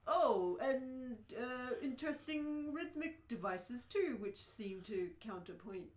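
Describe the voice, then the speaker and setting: A woman's voice: high-pitched, expressive, slow, roomy sound. A woman reading the part of an earnest, nervous prisoner in a radio play. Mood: gushing flattery.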